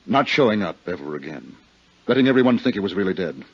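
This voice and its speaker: An American actor playing a bitter, lovesick middle-aged murderer, speaking earnestly and haltingly in a 1950s radio drama. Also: male voice, low voice, sing-song, slightly rough, full character voice. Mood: bitter confession. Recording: muffled 1950s radio recording.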